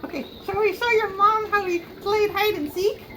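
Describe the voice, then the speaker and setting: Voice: very high voice, fairly even intonation, moderate pace. A playful, giggly puppeteer improvising a puppet show with bits of singing, in a noisy home recording.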